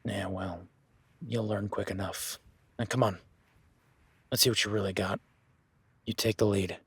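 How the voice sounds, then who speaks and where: A rugged cowboy rancher, played by a man, with a husky, breathy edge and a lowish voice. He keeps things laid-back and wry, needling a new hand in a first-person audio roleplay. The sound is dry and close, with no room around it. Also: fairly even intonation, measured pace, no room sound, full character voice. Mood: teasing. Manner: teasing, laid-back, talks softly to his horse.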